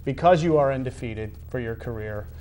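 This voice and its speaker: A man of medium pitch hosts a conversational sports interview. He words his questions haltingly, rephrasing as he goes, and there is audible background noise around his voice. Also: warm tone, low-quality recording. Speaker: interviewer, show host.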